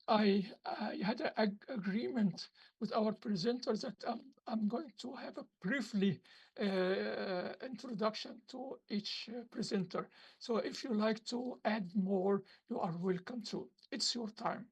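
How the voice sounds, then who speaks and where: Gravelly, husky woman chairing a session. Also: medium pitch, dull audio. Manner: halting, repeats words, polite thanks. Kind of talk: moderating an online conference.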